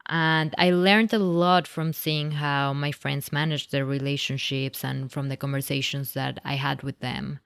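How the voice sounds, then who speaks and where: A young woman from Mexico with a Mexican Spanish accent, hosting a podcast and interviewing a guest, speaking conversationally and sometimes halting over her questions. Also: low voice, clear, resonant tone, dry recording, studio quality. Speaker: podcast host.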